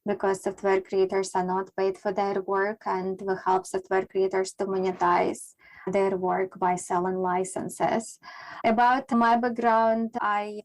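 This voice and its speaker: Woman, mid-pitched, flat intonation, prepared startup founder pitch. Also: rough recording. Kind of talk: prepared self-introduction pitch.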